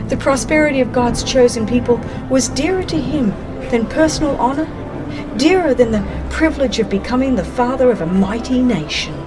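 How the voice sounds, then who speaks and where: A woman reading scripted religious narration in a solemn, measured and expressive manner. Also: high-pitched, rough recording. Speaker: audiobook narrator. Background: background music.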